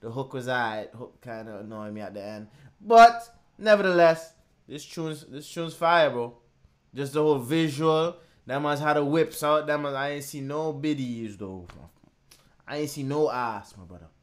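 A man in his twenties giving casual, slangy and animated commentary as he reacts to music. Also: mid-range voice, moderate pace. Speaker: reaction video host. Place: small indoor room at home. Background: music from the video he is watching.

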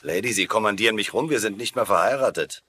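An actor playing a wisecracking colonel who leads prisoners of war, brisk, sardonic and commanding, in a dubbed sitcom. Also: male voice, lowish voice, bright, forceful tone, a little hiss or hum. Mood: exasperated.

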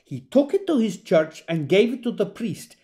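Devout countryman, superstitious, fervent, lively pitch, emphatic, audio drama. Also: moderate pace. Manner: emphatic, storytelling.

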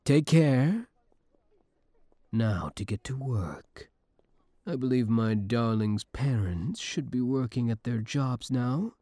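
A man's voice: on the low side, dark tone, breathy edge, no echo, heavily acted. A young man playing an obsessive, scheming villain who feigns kindness, in an intimate, theatrical audio roleplay. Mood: feigned innocence, then coldly scheming. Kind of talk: ASMR audio roleplay, intimate dramatic acting.

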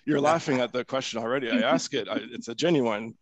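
A middle-aged man with a Canadian accent moderating a panel discussion in a casual, halting way over a headset. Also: medium pitch, no room sound. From Ottawa and Durham region, Canada. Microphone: headset on a video call.

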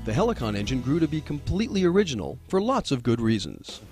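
A man's voice: mid-range voice, sing-song, fast, darkish tone. A man reading scripted promotional narration for a company training film. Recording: music playing behind the voice. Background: background music.

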